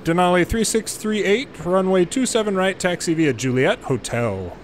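Higher-pitched man calling out air traffic instructions. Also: expressive. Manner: measured procedural callouts, muttered casual asides. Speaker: gamer and former air traffic controller. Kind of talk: gameplay commentary with air traffic control radio phraseology. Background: game airport ambience.

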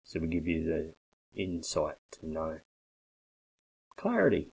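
Deep gravelly man pitching bluntly. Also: sing-song, slow pace. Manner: blunt, persuasive, emphatic with hand gestures. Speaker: coffee business consultant. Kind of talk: direct-to-camera sales pitch. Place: small bare office with desk, indoors. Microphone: webcam at desk.

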